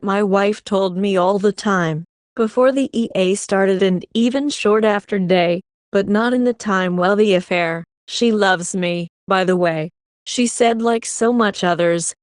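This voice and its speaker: A woman reading an online relationship story aloud in the first person, at a steady, even pace. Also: mid-range voice, dry recording, studio quality. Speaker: story reader.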